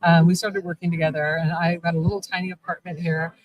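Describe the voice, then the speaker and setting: A woman's voice: fairly low pitch, level tone, quick pace, lo-fi sound, crowd noise. Chatty middle-aged woman telling a story. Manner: chatty, rambling, many restarts. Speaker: guest, vampire shop owner. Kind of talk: casual storytelling in a live interview. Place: convention event.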